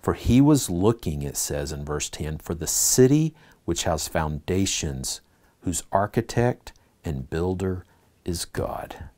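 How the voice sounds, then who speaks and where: A man's voice: sits low, sing-song, takes it slowly, warm tone. A man teaching a church Bible class, reading scripture aloud and putting earnest questions to his listeners.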